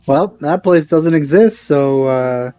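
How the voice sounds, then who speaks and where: A man's voice: a little high, slow, mellow. Offhand man commenting on a game. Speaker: let's play host. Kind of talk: casual gameplay commentary. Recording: game music behind.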